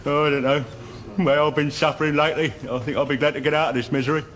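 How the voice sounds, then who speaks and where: An actor playing a grumbling, officious, self-pitying boss in a sitcom. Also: male voice, on the high side, little pitch movement, fast talker, a bit bright, lightly acted. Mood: gloomy. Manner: officious, complaining. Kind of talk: broad sitcom acting.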